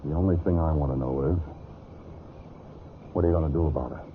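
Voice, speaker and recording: An American actor in a vintage radio drama, playing a blunt, protective older brother. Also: male voice, rumbling low, fast talker. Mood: confrontational. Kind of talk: 1940s-50s radio drama acting. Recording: tinny, noisy old radio recording.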